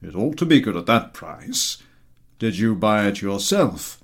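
A man voicing a gruff, unhurried military general with a blunt, reserved manner in a story reading. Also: fairly low pitch, very animated, heavily acted. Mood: reserved approval.

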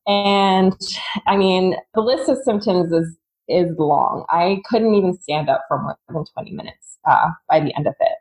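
A woman's voice: on the low side, normal speed, a little mellow, rough recording. A woman in her thirties from Singapore, a fitness coach telling her story as a podcast guest in a chatty, candid way, often laughing mid-sentence. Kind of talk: casual conversational storytelling.

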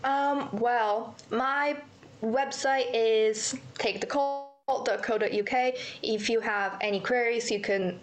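A woman's voice: a little high, takes it slowly. A woman from Mexico, a native Spanish speaker with a British accent, answering as an interview guest over the phone, friendly and enthusiastic. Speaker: guest, dance camp counselor. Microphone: phone call.

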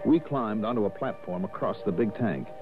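A man's voice: fairly low pitch, lively intonation, normal speed, music in the background, natural voice. An actor playing a cool, skeptical insurance investigator in a 1960s radio detective drama, dry and probing in his delivery. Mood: brisk narrating. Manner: dry, probing, clipped questions. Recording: narrow-band vintage radio recording.

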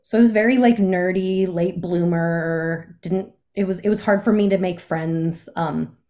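A young woman from the Chicago area talks as a guest in a relaxed interview. Her voice sits a little low, and she rambles easily, packing her sentences with "like" and "um.". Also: measured pace. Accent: faint Midwest, mostly lost. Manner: chatty, many fillers and "like"s. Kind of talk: casual interview chat.

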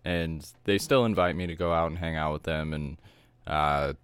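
A young adult man co-hosting a podcast, soft-spoken and calm, talking in a measured, reflective way. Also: rumbling low, clear, resonant tone, no room sound. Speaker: podcast co-host. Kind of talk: casual chat.

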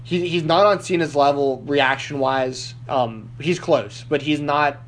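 A man hosts a casual podcast chat, circling his points with lots of likes and restarts. His voice sits a bit high. A faint hum runs underneath. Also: measured pace, a bit bright. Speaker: podcast host. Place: Nashville.

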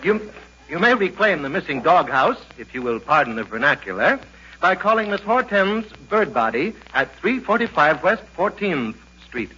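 A man's voice: on the high side, very animated, normal speed, sharp tone. A man acting a pompous, over-refined theater manager with florid, formal diction, in 1940s radio comedy style. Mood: stuffy, formal. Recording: dull, noisy 1940s radio recording.

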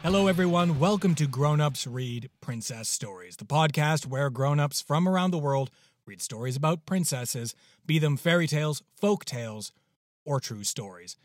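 Male podcast host, emphatic and excited, somewhat high-pitched, close dry sound. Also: normal speed, no room sound, soft background music. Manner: effusive, emphatic with repeated words. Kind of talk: enthusiastic podcast introduction.

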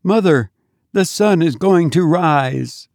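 A sweet, loving little boy spirit from a storybook, speaking softly and tenderly, as a male narrator puts him on. The voice sits high and clear, with a pleading warmth, and the recording is dry and close. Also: expressive, takes it slowly, no room sound, exaggerated voice. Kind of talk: storybook reading.

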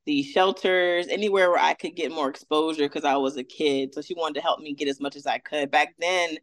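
A woman's voice: sits low, steady tone, brisk, no echo. A woman in her thirties from Atlanta, a veterinarian guest talking casually and upbeat, with many fillers. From Atlanta, Georgia, US. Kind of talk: casual interview.

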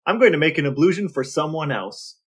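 A man's voice: fairly high pitch, very animated, fairly quick, husky. An American man co-hosting a podcast with casual, conversational talk. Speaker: podcast co-host.

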